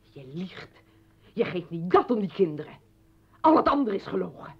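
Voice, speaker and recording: A woman's voice: medium pitch, sing-song, normal speed, slightly rough. An actress playing an anguished, accusing mother confronting her former husband in a radio play, bitter and pleading. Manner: bitter, pleading, breaking into whispers. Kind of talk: Dutch radio play acting. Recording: dull old radio recording.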